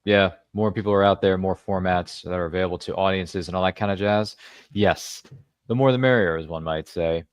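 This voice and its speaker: Deep-voiced chatty male podcast host. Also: steady tone, fairly quick, smooth, no echo, studio quality. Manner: chatty and jokey, with playful asides. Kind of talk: casual interview chat.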